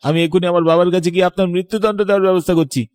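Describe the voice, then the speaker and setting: A man's voice: high voice, steady tone, very fast, a little mellow, no room sound, lightly acted. A man voicing an earnest young landlord's son in love, emotional and dramatic, in a cartoon. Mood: outraged. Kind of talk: melodramatic cartoon voice acting.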